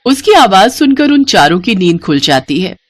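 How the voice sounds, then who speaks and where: Female storyteller: medium pitch, scripted moral-tale narration. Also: big pitch swings, normal speed, no echo.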